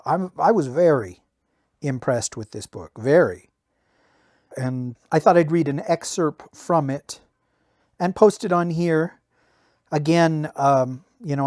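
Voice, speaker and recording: A man's voice: a little high, a little mellow, dry recording. A man who hosts a podcast, speaking in the style of an amateur audiobook narrator in a close home recording. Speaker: podcast host reading a novel excerpt.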